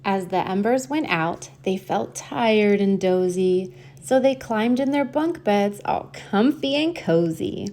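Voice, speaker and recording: A woman reading a rhyming children's picture book aloud in an expressive storytelling style, drawing out key words. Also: mid-range voice, takes it slowly, few pauses. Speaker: storybook reader and host.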